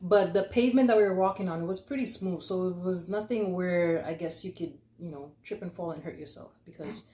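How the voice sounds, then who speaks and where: A woman's voice: lowish voice, brisk, warm tone. Young female storyteller, informal and chatty, acts out quotes, echoey room sound. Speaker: storyteller, vlog host.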